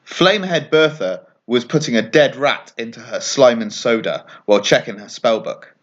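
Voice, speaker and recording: A man's voice: medium pitch, moderate pace. Man narrating a bedtime story, slightly muffled. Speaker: author reading his children's story.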